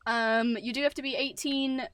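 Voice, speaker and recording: A woman's voice: on the high side, steady tone, moderate pace, fairly bright tone, clear, resonant tone. A young woman hosting a roleplay game video, chatting casually, rambling with fillers and giggling. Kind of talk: casual chat while gaming.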